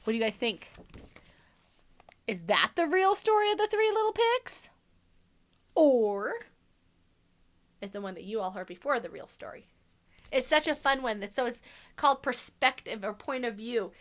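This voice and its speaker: A woman's voice: on the high side, expressive, normal speed, long pauses. A woman teacher doing a read-aloud for children, explaining simply and putting questions to her listeners.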